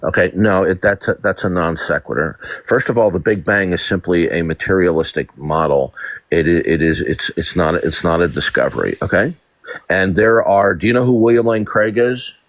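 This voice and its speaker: Male debate host, deep, dry close sound, combative and mocking, stutters on repeats. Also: normal speed, no echo. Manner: domineering, stammers repeated words, mocking. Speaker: live-stream debate host.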